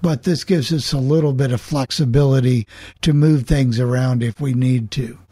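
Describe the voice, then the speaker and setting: A man hosting a casual group discussion, explaining things in a measured way. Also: medium pitch, steady tone, mellow, breathy edge, no echo. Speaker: host of a group coffee club chat on an internet radio station.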